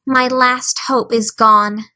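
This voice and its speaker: A woman's voice: high-pitched, moderate pace, bright, forceful tone, voice pushed up. A woman voicing a dramatic, eager orphan girl of about eleven who speaks in flowery, pleading words. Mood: despairing.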